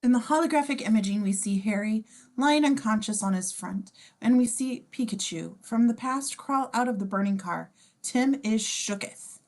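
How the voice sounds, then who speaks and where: A woman's voice: fairly high pitch, normal speed, darkish tone. A woman reading a script's scene descriptions at a table read, informal and playful, with casual asides. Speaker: stage-direction reader.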